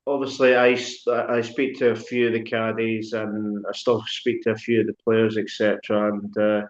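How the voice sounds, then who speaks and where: A man's voice: fairly low pitch, flat delivery, moderate pace. Male host rambling with "like" in a roomy space. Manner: rambling questions, heavy "like" and "um" fillers. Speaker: podcast host. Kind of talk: casual interview questions. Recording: roomy, dull lo-fi sound.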